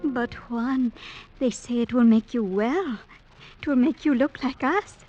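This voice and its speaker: A gentle, sheltered young sweetheart from an old radio play , played by an actress. She speaks slowly and tenderly in a highish, soft voice. The sound is dull and vintage. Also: over music, close to an everyday voice. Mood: gently insistent. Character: gentle young woman in love, elder's sheltered daughter. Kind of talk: 1940s-50s radio drama acting.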